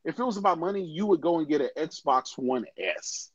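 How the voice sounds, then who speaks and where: A man's voice: on the high side, lively intonation, fairly quick, over a noisy crowd. A man in a casual gaming chat, pushing his points in an argumentative way. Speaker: podcast co-host. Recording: dull dry lo-fi mic.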